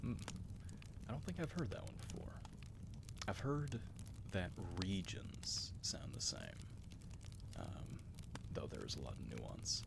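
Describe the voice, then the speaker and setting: A man hosting an ASMR livestream, reading chat and rambling in a soft, nerdy way that slips into whispers. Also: low-pitched, huge pitch swings, measured pace, vocal fry, pauses often, background noise. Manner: meandering, nerdy asides, drifts into whispers.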